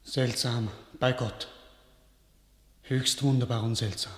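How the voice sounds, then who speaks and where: A man's voice: medium pitch, fairly even intonation, slow pace, natural voice. An actor playing a weary, despairing young king in a classic radio play, speaking in a solemn, resigned way. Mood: doubtful. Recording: roomy studio radio recording.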